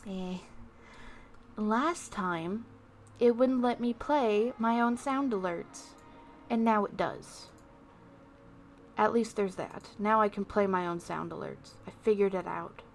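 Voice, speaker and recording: A woman's voice: mid-range voice, slow pace, pauses often. A woman livestreamer chatting casually to viewers in a rambling, self-deprecating way, with music behind her. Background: background music.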